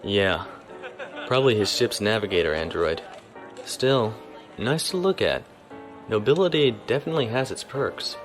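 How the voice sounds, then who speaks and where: A man's voice: lowish voice, expressive, moderate pace, pauses often, soft background music, natural voice. An actor playing a stiff, formal young male naval officer who speaks properly and reservedly.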